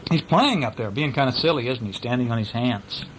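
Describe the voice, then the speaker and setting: Playful dad reading bedtime stories aloud, very lively swooping intonation, slightly noisy home recording. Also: male voice, mid-range voice, fairly quick. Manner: playful, acts out sound effects and asks questions. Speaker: father reading a bedtime story to his children. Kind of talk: casual story reading to young children with asides and questions.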